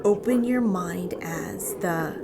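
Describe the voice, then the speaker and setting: A woman's voice: fairly low pitch, slow, vocal fry, noisy background. A woman playing a determined young occult student turned frightened captive in an audio drama. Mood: solemn incantation. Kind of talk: audio drama acting and first-person narration.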